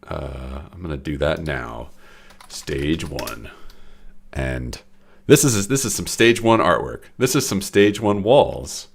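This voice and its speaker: A young man with a very low voice, audibly congested and stuffy. He rambles through a late-night coding stream in casual, self-deprecating talk full of restarts. He speaks into a desk mic at home. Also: expressive, takes it slowly, creaky, a little hiss or hum. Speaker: game developer streaming his work. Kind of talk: casual live-stream commentary.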